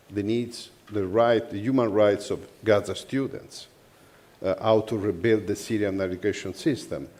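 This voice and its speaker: A man's voice: lowish voice, darkish tone. A man with an Italian accent speaking earnestly as a panelist in a forum discussion. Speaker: panelist, university network representative.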